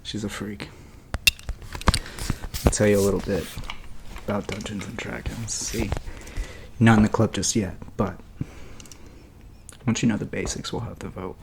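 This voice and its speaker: A woman voicing a cocky teenage metalhead boy who runs a fantasy game, with a lowered, gruff drawl. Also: steady tone, moderate pace, long pauses, background noise. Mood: explaining.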